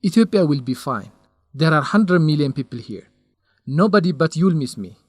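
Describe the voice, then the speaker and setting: A man's voice: on the high side, expressive, moderate pace, dry recording. An actor playing a young male researcher in a radio drama, lovesick and stubborn, speaking in an earnest, defensive way.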